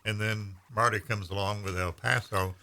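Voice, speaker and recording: A man, telling stories in casual conversation with measured pauses. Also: lowish voice, steady tone, sharp tone, slight background noise. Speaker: veteran radio broadcaster. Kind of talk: casual storytelling chat.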